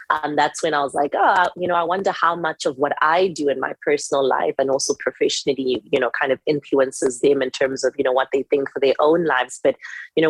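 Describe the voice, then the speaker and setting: A woman, a podcast guest, chatting in a relaxed way with lots of fillers and laughs. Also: sits low, slightly rough, dry recording, low-quality recording. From South Africa.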